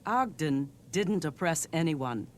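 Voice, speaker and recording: An actor, a man, playing a composed, watchful secret agent in a video game, speaking in a measured way. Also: a little high, animated, slight background noise. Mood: composed, firm.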